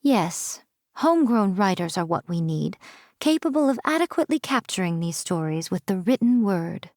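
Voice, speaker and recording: A woman voicing a sly, elegant fox-spirit shrine priestess in a video game, with coy, teasing, haughty and measured delivery. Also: mid-range voice, sing-song, a little mellow, no echo, clean studio sound. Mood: musing, amused. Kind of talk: game character voice lines, theatrical monologue.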